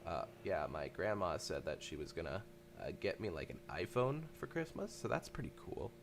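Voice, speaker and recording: A low-voiced man with a gravelly edge improvises comic dialogue, stammering through rambling ad-libs. His pitch moves around a lot, and there is faint noise behind him. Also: big pitch swings, moderate pace. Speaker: solo fan-dub performer improvising all voices. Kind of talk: improvised comedic fandub.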